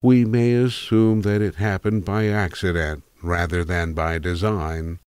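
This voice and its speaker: A man narrating a literary tale in a measured, wry, precise manner, as a voice actor reading from a script. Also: low voice, little pitch movement, a little mellow, clean tone, dry recording, studio quality.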